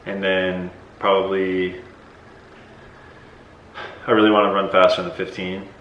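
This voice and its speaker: A man's voice: sits low, level tone, unhurried, fairly bright tone, lo-fi sound. A young man hosting a casual question-and-answer vlog, talking loosely with lots of fillers. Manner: loose, hesitant, many fillers. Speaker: vlogger host and decathlete. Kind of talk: casual Q&A chat. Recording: echoey with faint noise.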